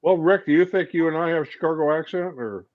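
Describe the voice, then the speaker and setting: A man's voice: a little high, fast. Midwestern man on a dull lo-fi line. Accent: Midwestern American. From Illinois, USA. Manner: relaxed, reads out chat comments. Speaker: co-host reading viewer comments. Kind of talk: casual livestream chat.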